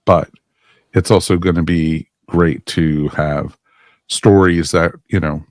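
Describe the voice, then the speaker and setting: A man's voice: deep voice, fairly even intonation, slow pace, no echo, studio quality. A man hosting a casual podcast discussion, chatty and enthusiastic, often laughing. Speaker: podcast host.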